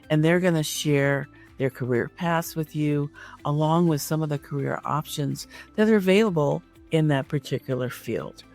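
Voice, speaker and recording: A woman's voice: a bass voice, darkish tone. A woman hosting a career podcast, moving between a prepared introduction and friendly interview chat. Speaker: podcast host and career coach.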